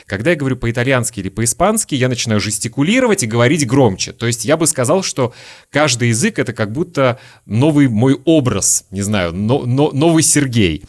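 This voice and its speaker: A man from Lithuania, a native Lithuanian speaker, hosting a relaxed interview podcast and asking long, searching questions. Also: medium pitch, brisk. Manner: asks long, searching questions and shares his own stories. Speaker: podcast host.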